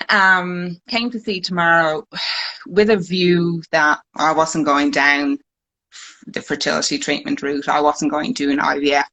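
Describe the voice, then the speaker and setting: This is an Irish woman in her forties with a low-pitched, bright, forward voice. She tells her own story haltingly, filling pauses with frequent ums like someone unused to public speaking. The sound comes through a phone mic on a live stream. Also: low-quality recording. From Ireland. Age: 40s. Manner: hesitant, many ums, unpracticed speaker. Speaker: guest.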